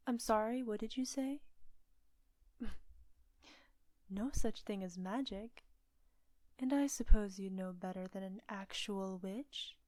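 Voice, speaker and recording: A woman playing a confident, teasing witch in an intimate audio roleplay, soft and breathy with frequent drops into a whisper. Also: medium pitch, no echo, lightly acted. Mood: teasing, challenging. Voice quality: breathy, often dropping to a whisper. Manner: teasing, coaxing, unhurried.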